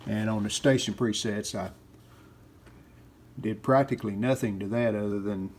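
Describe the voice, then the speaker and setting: A man's voice: on the low side, steady tone, moderate pace, darkish tone. A man talking casually through a hands-on restoration in a plain, matter-of-fact way, in short clipped sentences. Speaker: host of a vintage radio repair video. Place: indoors at home.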